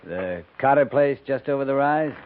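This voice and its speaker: An actor playing a steady, weary US cavalry captain in a 1950s radio western, speaking in a calm, laconic, plain-spoken way. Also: male voice, medium pitch, moderate pace, natural voice. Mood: businesslike. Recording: noisy, tinny 1950s radio recording.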